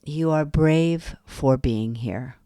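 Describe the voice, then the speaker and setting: A woman guiding a yoga nidra relaxation, speaking softly and soothingly with long pauses between phrases. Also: sits low, clean tone, no echo. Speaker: meditation guide. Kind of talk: guided yoga nidra meditation.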